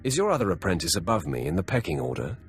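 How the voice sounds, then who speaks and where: A man playing a brutish, sardonic Sith warrior in a video game, with terse lines in a gravelly voice. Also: sits low, sing-song, fast, a little hiss or hum. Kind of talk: video game hero acting.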